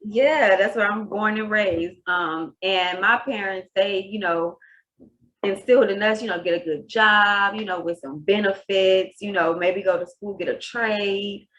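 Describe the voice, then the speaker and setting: A woman's voice: mid-range voice, steady tone, measured pace, fairly bright tone, muffled recording. Casual woman hosting an interview. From Texas, USA. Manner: casual, rambling, laughs easily. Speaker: podcast host.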